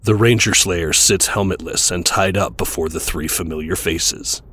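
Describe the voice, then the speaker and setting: A man with a husky voice narrating a scripted audio drama. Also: low voice, moderate pace, pushed and bright.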